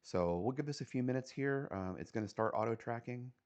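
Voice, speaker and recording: A man's voice: medium pitch, animated, fairly quick, darkish tone, dull audio. A man giving a casual, step-by-step explanatory tour as an amateur radio operator, speaking in a plain, matter-of-fact way.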